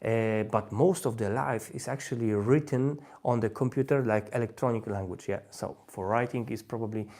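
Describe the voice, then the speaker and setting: Male livestream host, native Polish, Polish accent, medium pitch, informal and halting with frequent repeats. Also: a little mellow. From Poland. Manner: halting, repeats and rephrases phrases. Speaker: host, language learner giving advice. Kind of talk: informal livestream talk on language learning.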